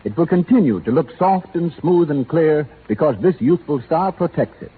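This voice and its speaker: Lively old-time radio announcer, a man. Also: a little high, moderate pace, breathy edge. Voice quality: polished, persuasive. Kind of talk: 1930s radio commercial announcing. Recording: tinny old radio broadcast.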